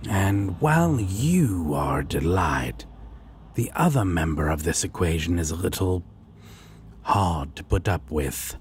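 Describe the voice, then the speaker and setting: A man's voice: low voice, lively intonation, unhurried, darkish tone, slight background noise. A man playing a sardonic demon roommate in an audio roleplay, exasperated yet fond, with a dry drawl and pet names. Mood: dry, annoyed.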